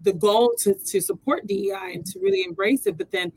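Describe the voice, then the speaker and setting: A woman's voice: mid-range voice, brisk, rough recording. Curious woman host from Texas. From Texas, USA. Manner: curious, asks long winding questions. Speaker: podcast host. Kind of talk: casual interview talk.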